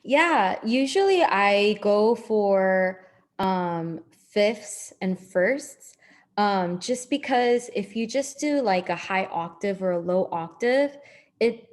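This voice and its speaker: A female songwriter answers questions on a video call, in an easygoing, explanatory way with soft ums. Her pitch sits mid-range for a woman. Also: animated, slow pace. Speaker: guest songwriter and topliner.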